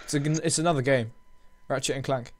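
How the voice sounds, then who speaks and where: A man's voice: mid-range voice, fairly even intonation, fairly quick. A young man chatting casually over a game, dry and teasing, sometimes exasperated with his partner. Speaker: gamer. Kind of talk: casual gameplay chat. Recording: keyboard clicks clearly heard behind.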